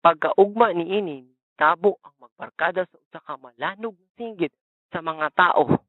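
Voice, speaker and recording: A man's voice: sits high, normal speed, narrow, tinny audio. A man with a Filipino accent reading scripted narration for a student radio drama. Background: music.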